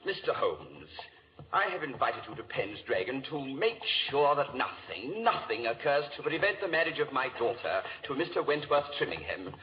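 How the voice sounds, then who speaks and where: Male actor, English aristocrat, courteous, anxious, formal, lively pitch, hissy 1940s radio drama. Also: normal speed, lo-fi sound, close to an everyday voice. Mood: formal, concerned.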